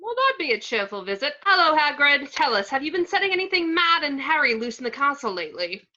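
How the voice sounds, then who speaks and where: A woman plays a sarcastic schoolboy wizard in a script reading, high and bright, excitable in delivery. The home recording is a little dull. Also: quick pace, exaggerated voice. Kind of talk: amateur online table read of a screenplay.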